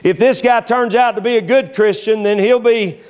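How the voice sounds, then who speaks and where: A middle-aged man preaching a sermon from a pulpit in a small church, emphatic, repeating words to press a point and reading scripture in a measured cadence. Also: high voice, steady tone, darkish tone, clear, resonant tone. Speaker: pastor.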